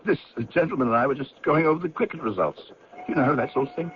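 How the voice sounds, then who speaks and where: A man's voice: a little high, quick pace, lightly acted. A man acting in an old-time radio drama as a glib, evasive, outwardly chummy commuter with a breezy, emphatic manner. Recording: dull, hissy old radio recording.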